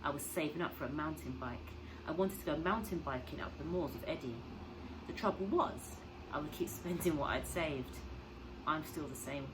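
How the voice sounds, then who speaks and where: A woman with a low voice reads a children's story aloud like a teacher. She is expressive and puts simple questions and explanations to young listeners. There is clear noise on the recording. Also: normal speed. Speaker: teacher reading to pupils.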